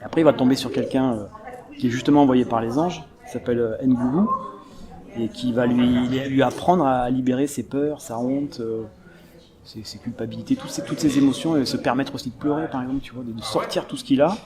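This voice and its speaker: A man's voice: mid-range voice, a little fast. A young man, an actor, chatting casually in an interview, rambling with many restarts and laughs. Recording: crowd chatter behind.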